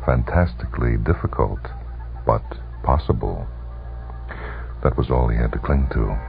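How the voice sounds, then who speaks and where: An extremely deep-voiced man reads a dramatic short story on the radio. His delivery is measured and suspenseful, with a gravelly creak. The sound is narrow, like a phone line, on an old broadcast. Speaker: narrator. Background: music.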